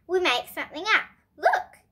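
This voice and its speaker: A confident, imaginative little storybook boy, played by a young girl, talks in a high, bright voice. He is insistent and exclamatory, with very lively pitch. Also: moderate pace, exaggerated voice. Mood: exasperated, insistent.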